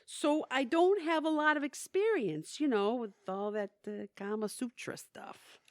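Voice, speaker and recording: A woman's voice: high voice, measured pace, dry recording, clean studio sound. An actress playing a plain-spoken, wry, hesitant woman who longs to be braver, in an audio play. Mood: nervous confessing. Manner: folksy, candid, wry. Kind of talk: audio theatre acting.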